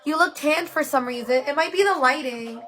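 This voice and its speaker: A woman's voice: high voice, moderate pace, pushed and bright, faint music behind. A woman from New York hosting her own livestream, chatting casually and rambling a little with fillers. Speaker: livestream host. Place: home room with yellow lighting.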